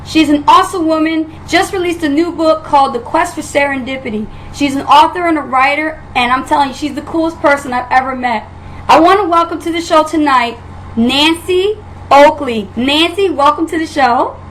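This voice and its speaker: A woman's voice: high voice, moderate pace, a bit bright, slight background noise, rough recording. A woman hosting an interview show, enthusiastic and familiar with her guest. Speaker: talk show host.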